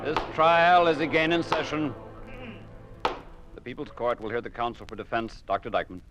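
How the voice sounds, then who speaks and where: An actor playing a stern, coercive judge at a show trial in an old radio drama, with a formal, commanding delivery. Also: male voice, mid-range voice, fairly even intonation, moderate pace, highs cut off, over music, natural voice. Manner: formal, commanding, declamatory in court. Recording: noisy old radio recording.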